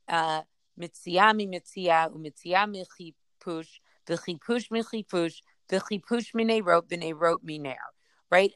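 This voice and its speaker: A woman's voice: lowish voice, a bit bright, dry recording. A woman reading aloud from a Talmud passage and explaining it in casual study talk, thinking aloud with many fillers, self-corrections and a frequent "right?". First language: English. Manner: reads Aramaic passages then paraphrases. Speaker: study partner.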